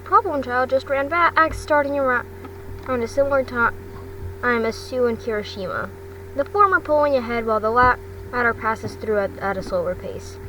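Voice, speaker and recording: A woman narrating a story in an amateur audiobook style, with a halting delivery full of stumbles and self-corrections. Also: a little high, pushed and bright, distant mic, slight background noise, poor audio. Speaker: fan fiction reader.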